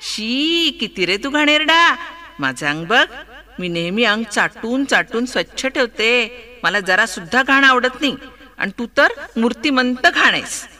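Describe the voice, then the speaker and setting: A man's voice: very high voice, expressive, measured pace, sharp tone, voice pushed up, full character voice. A man doing a giggling, sneering cat. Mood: mocking. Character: mocking, prissy female house cat.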